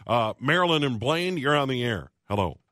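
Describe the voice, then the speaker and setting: Man, radio host, studio, mid pitch, dry close sound. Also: sing-song, fairly bright tone, no echo. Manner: reads phone numbers slowly, brisk station announcements. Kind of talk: radio call-in show hosting.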